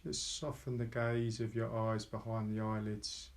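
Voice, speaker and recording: Male meditation guide. Low voice, very flat pitch, calm and unhurried, leaves space between short phrases. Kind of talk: guided meditation, slow soft instruction.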